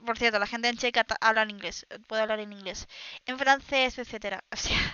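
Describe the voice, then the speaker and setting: A woman from Spain hosting a reaction stream in Spanish, reacting with excited, exclamatory interjections. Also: on the high side, fast talker, clear, resonant tone, dry recording. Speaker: VTuber host reacting to clips. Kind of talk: live reaction commentary. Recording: dull, slightly lo-fi dry mic.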